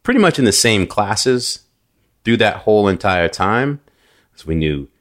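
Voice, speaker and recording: Man from New York, very low voice, animated storyteller, casual podcast chat. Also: a little slow. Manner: animated storytelling, frequent restarts and fillers. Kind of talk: casual conversation.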